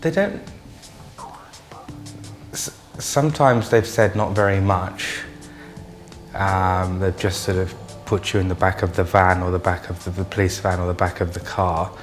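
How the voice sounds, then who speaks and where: A man recalling his experiences in an interview in a plain, halting way. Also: sits low, soft background music. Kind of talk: interview testimony.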